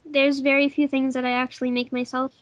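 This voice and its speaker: A woman with a very high-pitched voice talks casually in a commentary. The sound is dry, with no room around her. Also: flat delivery, brisk, no echo, dull audio. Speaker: fandub voice actor and sound editor.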